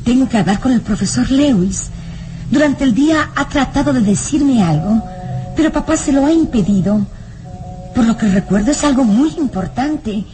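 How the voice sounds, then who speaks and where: A woman performs a sheltered, anxious young noblewoman in an old radio melodrama. Her voice is very high, and she frets to herself in halting phrases. Soft music sits behind her. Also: lively intonation, lightly acted, poor audio. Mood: worried, puzzled.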